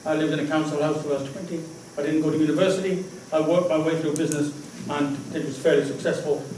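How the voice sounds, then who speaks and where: An older man from England, speaking loudly and confidently at a hustings without a microphone. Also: fairly high pitch, steady tone, fast, recorded from a distance. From North East England. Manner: loud, self-assured. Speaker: councillor and candidate. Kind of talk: public hustings speech. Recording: very echoey hall, no mic, faint noise.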